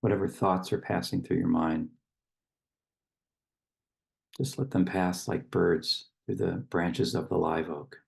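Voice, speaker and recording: A man speaking as a meditation guide and poetry reader, in slow, measured phrases with long pauses and a husky, gravelly voice. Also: deep voice, steady tone.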